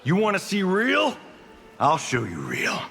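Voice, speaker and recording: An actor playing a flippant, sarcastic movie-star fighter with a slangy manner in a fighting game. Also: male voice, high-pitched, huge pitch swings, measured pace, gravelly, bright, forceful tone, long reverb tail, soft background music, exaggerated voice. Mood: brash, mocking. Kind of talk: fighting game character dialogue.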